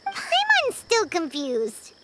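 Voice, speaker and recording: A woman voicing a tiny, easily confused girl companion in an anime fantasy game, with a childlike squeaky voice. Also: huge pitch swings, takes it slowly, very noisy, heavily acted. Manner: refers to herself in third person, exclamatory. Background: music.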